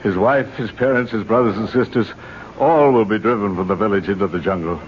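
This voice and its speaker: An actor in an old radio drama playing a stern, authoritative doctor with a measured, commanding manner. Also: male voice, fairly low pitch, natural voice. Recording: muffled old radio recording with hiss.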